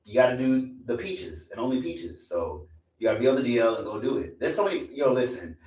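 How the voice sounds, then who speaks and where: A man's voice: mid-range voice, sing-song, a little fast, a little mellow, far from the mic. A man in his twenties hosting a live stream in a casual, profane rant that repeats lines for emphasis. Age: 20s. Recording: echoey room, away from mic.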